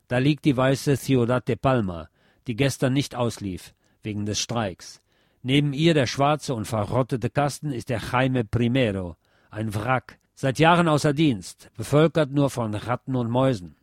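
A man's voice: mid-range voice, unhurried, no echo. Man narrating, close and dry. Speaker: narrator and presenter of a radio series. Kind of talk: scripted literary reading and narration.

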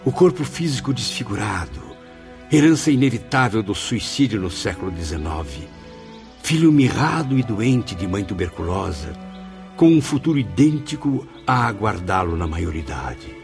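Sad humble spirit full of remorse, brazilian radio play, lowish voice, very formal and sincere. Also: male voice, very animated, normal speed, creaky, a little mellow. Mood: sorrowful tenderness. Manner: formal, earnest, plaintive. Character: remorseful, earnest male spirit, humble penitent disciple. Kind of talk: Brazilian religious radio drama acting. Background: music.

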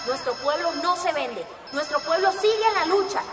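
Fiery high-voiced woman activist played by an actress. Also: lively intonation, normal speed, roomy sound, in a large hall, distant mic, over loud music, over a noisy crowd. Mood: defiant, rallying. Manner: defiant, declamatory, rallying. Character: fiery woman activist leading a village road blockade. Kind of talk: radio drama acting.

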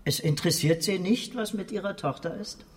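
An actor playing a sarcastic police detective who needles a suspect in a radio crime drama. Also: male voice, high voice, fairly quick, lightly acted. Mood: needling. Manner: mocking, needling.

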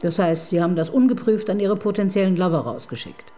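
An actress in a radio crime drama playing a middle-aged, married woman detective who is ironic and needling, with soft music under her lines. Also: fairly low pitch, expressive, close to an everyday voice. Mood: dry, skeptical. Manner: ironic, needling, quick to tease. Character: wry, probing married woman police detective. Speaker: detective chief inspector.

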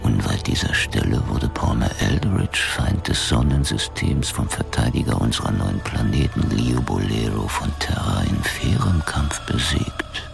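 An actor playing an embattled, desperate businessman in a German science fiction radio drama, with a gravelly voice. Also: extremely low pitch, lively intonation, unhurried, few pauses, over music, exaggerated voice. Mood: solemn reading. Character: Leo, embattled businessman trapped in a hallucinated world, raging and desperate.

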